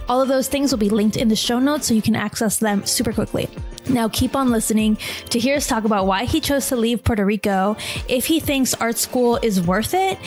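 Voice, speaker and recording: A woman hosting a podcast, speaking in an informal, chatty way as presenter and interviewer. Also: a little high. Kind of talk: scripted podcast intro and casual interview chat.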